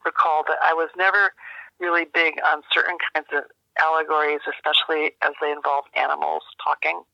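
Creaky-voiced older woman on a dull line. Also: low-pitched, little pitch movement, no echo. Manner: measured, thoughtful, searching for words. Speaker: guest, journalist and journalism teacher. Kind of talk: reflective interview conversation.

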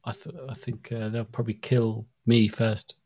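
A man's voice: on the low side, monotone, normal speed, warm tone, slightly rough, no room sound, studio quality. A man co-hosting a podcast, chatting casually with playful, teasing banter. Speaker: podcast co-host. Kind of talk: casual chat.

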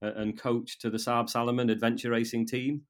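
A man in his fifties from North Wales with a North Welsh accent, a guest in a casual interview who talks haltingly, repeating words and restarting his sentences. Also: mid-range voice, level tone.

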